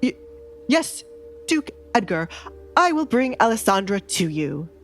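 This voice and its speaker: A woman's voice: mid-range voice, very animated, a little slow, soft background music. A woman voicing a nervous, evasive baron and father in an audio romance drama, hesitant and placating. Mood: flustered, submissive.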